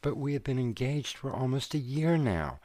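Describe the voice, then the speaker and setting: A man's voice: medium pitch, big pitch swings, normal speed, a little mellow, dry recording, natural voice. An actor playing an earnest young man, pleading with his fiancée and growing exasperated. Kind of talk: audio drama acting.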